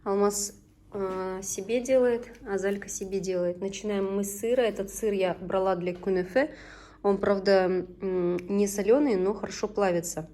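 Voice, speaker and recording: A woman's voice: medium pitch, fairly even intonation, normal speed, mellow. A woman in her thirties from Tatarstan whose first language is Tatar, chatting casually in a home vlog while cooking and guiding her children. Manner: chatty and homely, often breaks off to instruct her children. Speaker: vlogger and mother. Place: home kitchen.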